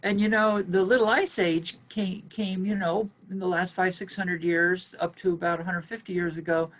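Brief: woman archaeology expert guest, measured and explanatory, lower voice. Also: fairly even intonation, a bit bright. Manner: measured, explanatory, some hesitations. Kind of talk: expert interview answers.

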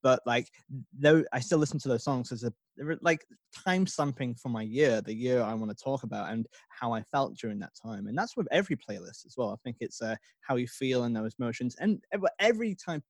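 A young man hosting a relaxed podcast conversation. He talks in an easygoing, agreeable way, full of ums and uhs, and sounds dry and close to the mic. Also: medium pitch, a little fast, no echo. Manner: easygoing, agreeable, many fillers. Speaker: podcast host. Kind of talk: casual interview chat.

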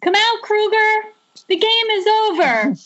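A woman's voice: very high voice, expressive, measured pace, a bit bright, exaggerated voice. A woman playing a determined, defiant pregnant young heroine in an amateur horror script table read. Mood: angry, defiant. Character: defiant pregnant young woman, Alice, shouting down her tormentor. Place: remote group voice call. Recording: muffled internet call audio.

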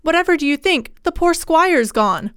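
Woman playing gossipy village housekeeper, high voice, flustered, rustic phrasing, storybook character. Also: normal speed, smooth, no echo, studio quality, close to an everyday voice. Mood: agitated. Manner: rustic dialect, breathless exclamations.

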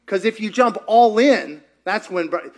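A man's voice: high-pitched, lively intonation, a little slow. A man, a pastor, teaching in a sermon style, repeating lines for emphasis and questioning the audience.

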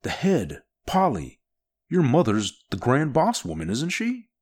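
A man's voice: medium pitch, wildly swooping pitch, unhurried, pitched above the performer's own voice. A man voicing a tense male agent in an audiobook, snapping out urgent orders. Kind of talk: audiobook character voice.

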